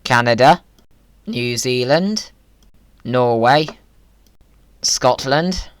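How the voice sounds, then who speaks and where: A man's voice: mid-range voice, very slow, no echo, clean studio sound. A man giving playful gaming commentary in a whimsical, silly manner. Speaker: video creator, gamer.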